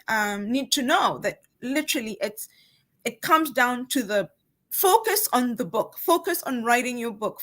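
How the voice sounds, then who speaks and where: A woman's voice: high-pitched, a bit bright. A woman speaking casually as a guest author in an interview, emphatic and encouraging, repeating phrases to drive points home. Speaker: guest author on a book talk show.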